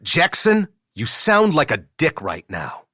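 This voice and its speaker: A man's voice: on the high side, wildly swooping pitch, takes it slowly, bright, forceful tone, slightly rough, dry recording, clean studio sound, voice pushed up, exaggerated voice. A man voicing an irritable, commanding billionaire boss with a terse, blunt, exasperated manner in an audiobook. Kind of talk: audiobook character dialogue.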